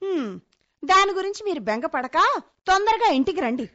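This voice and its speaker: A middle-aged housewife in a domestic radio comedy. Her voice is high, and she is chatty, aggrieved and ready to retort. The sound is dull and lo-fi. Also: animated, brisk, heavy background noise. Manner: complaining, retorting. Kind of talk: Telugu radio comedy play acting. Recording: dull, lo-fi radio play recording.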